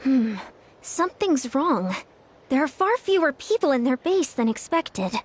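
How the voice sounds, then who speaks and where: High-voiced earnest young squad leader. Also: female voice, big pitch swings, a little slow, slight background noise. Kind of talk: video game voice acting.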